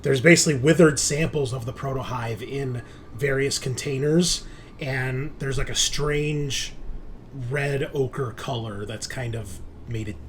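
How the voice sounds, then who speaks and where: Man game-mastering over background noise. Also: medium pitch. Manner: halting, thinking aloud while checking notes. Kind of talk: narrating a tabletop horror game.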